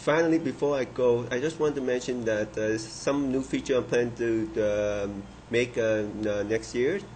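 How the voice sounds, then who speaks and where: Man in his thirties, software demonstrator, hesitant unscripted speech, mid-pitched voice, audible noise. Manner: halting, unscripted delivery. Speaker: software developer, presenter. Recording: clearly noisy room recording.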